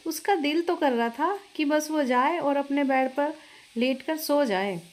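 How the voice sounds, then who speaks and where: A woman's voice: sits high, measured pace. A woman reading a scripted family story as its narrator.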